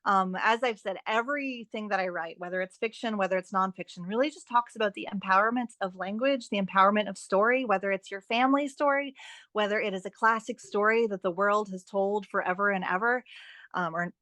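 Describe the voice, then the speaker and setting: A woman speaking as a guest author in a podcast interview, expressive and enthusiastic in a conversational way. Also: mid-range voice, a little fast, no echo.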